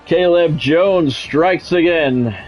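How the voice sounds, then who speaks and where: Higher-pitched man calling football play-by-play. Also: takes it slowly, faint music behind. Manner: announcer-style calls in short clipped phrases. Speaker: sports game streamer doing play-by-play. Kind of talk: football play-by-play commentary with casual chat to viewers.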